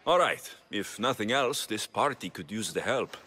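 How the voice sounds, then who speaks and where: An actor playing a blunt, wry young mercenary in a video game. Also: male voice, lowish voice, expressive, normal speed, noisy background, lightly acted. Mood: matter-of-fact. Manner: blunt, direct. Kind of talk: video game cutscene acting.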